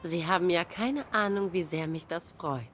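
A woman's voice: lowish voice, moderate pace, fairly bright tone, slight background noise, close to an everyday voice. An actress playing a grieving young cat woman in a noir game, guarded, bitter and sardonic in a subdued way. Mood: sardonic, hurt. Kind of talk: noir game dialogue acting.

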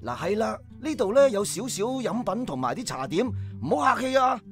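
A man's voice: high-pitched, sing-song, normal speed, soft background music. An actor playing a jovial, welcoming man who organises a prayer group, in a Hong Kong Cantonese radio drama.

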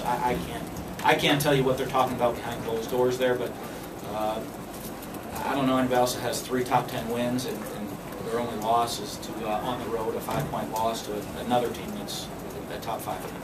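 A man in his forties, a football coach answering postgame questions at a press conference, speaking in a measured way with many fillers and restarts. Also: medium pitch, fairly even intonation. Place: press room with backdrop. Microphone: podium mic, filmed from a distance. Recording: echoey room, far from the camera, background noise.

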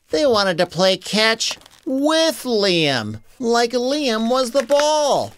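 A woman narrating a children's story in an expressive, conversational, casual way. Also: medium pitch, a little hiss or hum.

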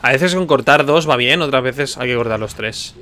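Bright-voiced Spanish male game streamer. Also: mid-range voice, normal speed, a little hiss or hum. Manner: muses aloud, informal. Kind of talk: casual gameplay commentary. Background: video game sound.

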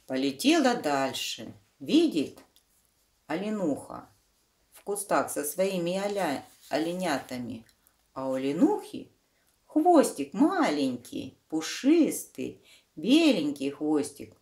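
A woman's voice: deep voice, very animated, slow, darkish tone. A woman reading a children's storybook aloud, explaining the pictures simply to young listeners. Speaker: children's book reader.

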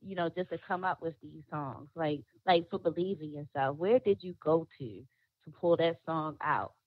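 A woman co-hosting an interview, lively and affirming, often answering with amen and praise. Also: sits low, moderate pace, no room sound, lo-fi sound. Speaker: co-host. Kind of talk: casual interview chat.

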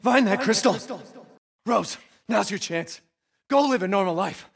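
Protective game dad, high-strung high pitch, gravelly rasp, widely swinging intonation. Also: male voice, expressive, a little slow, a bit bright, heavily acted. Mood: pleading. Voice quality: raspy, gravelly. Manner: intense, emotional. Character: desperate, protective father guarding his grown daughter. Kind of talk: dramatic video game voice acting.

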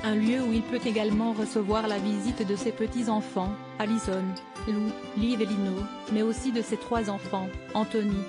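A woman reading a scripted celebrity news narration in an even, synthetic-sounding way. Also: medium pitch, moderate pace, hardly any pauses. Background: background music.

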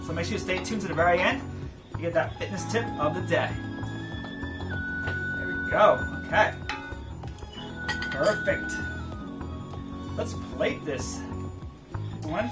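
A man in his thirties hosting an energetic, chatty cooking demo outdoors in his backyard garden. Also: on the high side, distant mic. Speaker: cooking show host. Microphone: far camera, outdoors.

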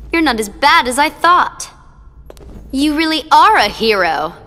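A woman's voice: sits high, big pitch swings, slow pace, sharp tone, in a large hall, exaggerated voice. An actress voicing a spiteful young female villain who mocks and taunts. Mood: taunting. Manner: taunting, mocking, sarcastic.

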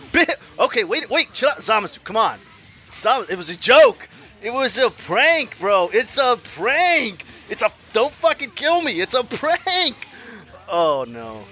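Excitable man yelling over a fighting game. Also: high-pitched. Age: 40s. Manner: excitable, swings from mutters to yelling and laughing; profane, self-mocking trash talk. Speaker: gaming YouTuber. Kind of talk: live gameplay commentary.

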